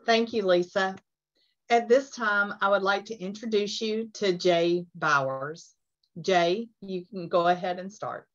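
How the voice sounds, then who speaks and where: A woman's voice: medium pitch, steady tone, a little slow. A woman moderating a virtual town hall, giving brief, polite introductions of each speaker. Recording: dull, distant sound.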